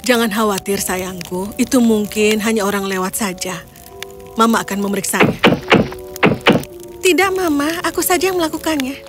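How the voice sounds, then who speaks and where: Woman acting high, lively fairy-tale mother and daughters. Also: moderate pace, pauses often, music in the background, close to an everyday voice. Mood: caring. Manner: expressive, earnest. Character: fairy-tale mother and her young daughters, caring, excitable.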